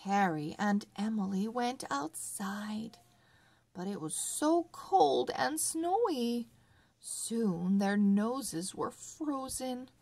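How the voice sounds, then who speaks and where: Very slow, expressive woman reading to children. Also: a little high, creaky. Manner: deliberate, word-by-word reading for early readers. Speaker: storyteller for a children's read-along channel. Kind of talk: slow read-along narration of a children's story.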